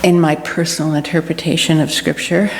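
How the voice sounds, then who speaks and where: A woman giving an earnest, deliberate personal reflection to a church congregation. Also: low-pitched, little pitch movement. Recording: echoey hall with clear background noise.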